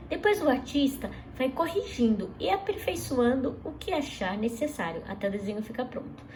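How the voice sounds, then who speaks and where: A woman teaching an art lesson to young pupils in a lively, chatty way, often asking them questions and restarting words. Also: on the high side, a little hiss or hum. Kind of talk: recorded class lesson for children.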